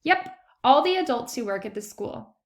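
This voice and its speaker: A woman's voice: fairly high pitch, big pitch swings, normal speed. A woman voicing a sensible, practical schoolgirl detective in a children's storybook, firm and matter-of-fact.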